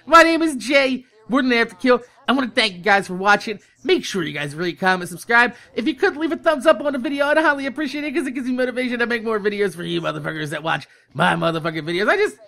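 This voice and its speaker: A young American man hosts a casual venting video in a bright, forceful voice. His pitch swings widely as he complains in an exasperated way, leaning on profanity and repeating words for emphasis. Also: lively intonation, fast talker, gravelly, few pauses. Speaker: YouTube host. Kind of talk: casual storytime rant.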